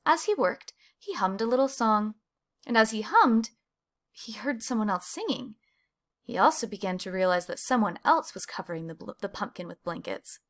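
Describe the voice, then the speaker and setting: A woman reading a children's picture book aloud, with animated emphasis on key words. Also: mid-range voice, normal speed. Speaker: storybook reader.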